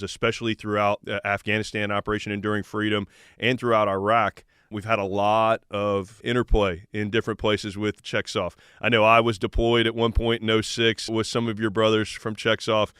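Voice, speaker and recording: Low, clear-voiced American man hosting. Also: a bit bright, dry recording, studio quality. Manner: informal, encouraging. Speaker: podcast host, army sergeant major. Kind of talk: conversational podcast interview.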